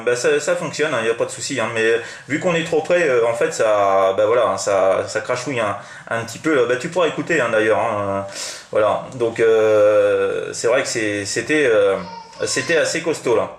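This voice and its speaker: A man's voice: mid-range voice, normal speed. A man with a French accent chatting casually as an amateur radio operator, in a hesitant, rambling, repetitive way. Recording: roomy, echoing room sound.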